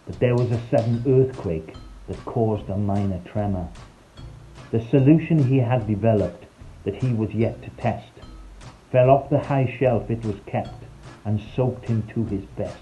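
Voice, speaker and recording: A man's voice: medium pitch, dark tone, poor audio. A man reading a scripted, rhyming children's story in a playful, theatrical storytelling manner. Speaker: storyteller, narrator. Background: background music.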